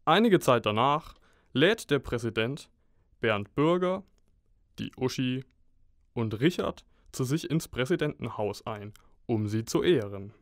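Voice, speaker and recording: A man narrating a comic superhero radio play as a deadpan storyteller. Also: medium pitch, a little slow, no echo, studio quality.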